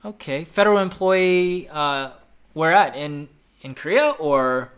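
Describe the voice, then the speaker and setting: Livestream host, man, 30s, upbeat and chatty, fairly high bright voice. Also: expressive, measured pace, clean tone. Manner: upbeat, chatty, reads comments aloud and repeats phrases. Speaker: livestream host answering viewer questions. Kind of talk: casual live Q&A chat.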